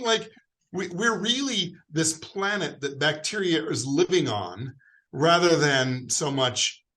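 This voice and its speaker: A man's voice: medium pitch, fairly bright tone. An American man answers interview questions over a video call. His voice sits in a middle range, and he explains in a friendly, rambling way with plenty of fillers. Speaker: guest expert.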